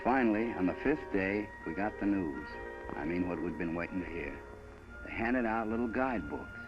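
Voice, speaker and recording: Casting: young American GI, very low voice, folksy slangy narration, muffled 1940s film sound with loud music. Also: male voice, animated, moderate pace. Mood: wry, matter-of-fact. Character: plain-spoken young American army private.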